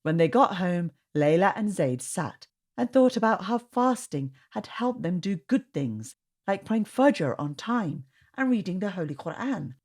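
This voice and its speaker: A woman reading a storybook aloud to children in an expressive, sing-song way. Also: on the low side, unhurried. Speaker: storytime reader. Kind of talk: children's read-aloud narration.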